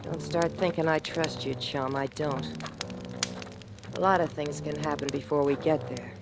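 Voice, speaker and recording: An actress playing a tough, shrewd hobo in a 1940s radio thriller, sardonic, slangy and taunting. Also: female voice, sits low, fairly even intonation, moderate pace, creaky. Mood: hard, threatening. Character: tough wisecracking female hobo, shrewd and mocking. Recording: noisy old radio recording.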